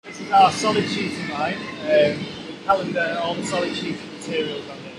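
High-voiced man giving a factory tour. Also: fairly quick, rough recording. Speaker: factory guide. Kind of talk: explaining a process on a tour. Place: inside a large working factory. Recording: music behind, factory hum.

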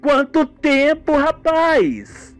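A man voicing a concerned young woman in a radio soap, with drawn-out, expressive exclamations. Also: extremely high pitch, faint music behind, pitched above the performer's own voice, exaggerated voice. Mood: surprised, concerned.

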